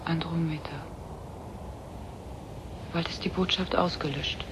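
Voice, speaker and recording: A woman's voice: sits low, fairly even intonation, takes it slowly. A woman playing an enigmatic young artificial woman, solemn and searching, in a German radio drama. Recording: noisy old radio play recording.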